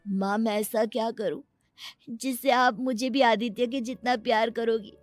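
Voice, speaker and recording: A woman voicing a meek, loving seven-year-old orphan boy who speaks earnestly and pleadingly in an animated moral story. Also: fairly high pitch, normal speed, dry recording, soft background music, pitched above the performer's own voice, close to an everyday voice.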